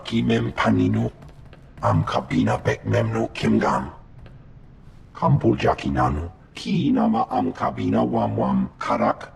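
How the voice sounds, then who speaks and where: An actor voicing a gruff, blustering male alien gangster in a video game, speaking an invented alien tongue in a gravelly voice. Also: lowish voice, lively intonation, unhurried, music in the background, heavily acted. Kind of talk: video game creature acting.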